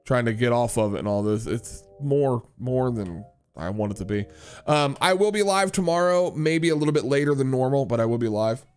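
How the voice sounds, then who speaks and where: A man's voice: mid-range voice, animated, moderate pace, a little mellow, clean tone, soft background music. A man hosting a livestream, chatting casually and rambling with many restarts as he answers viewers. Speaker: livestream host and worship leader.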